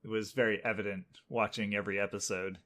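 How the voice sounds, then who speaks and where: A man's voice: lowish voice, little pitch movement, quick pace, fairly bright tone. A man co-hosting a podcast, sharing opinions in casual, conversational fan talk. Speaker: podcast co-host.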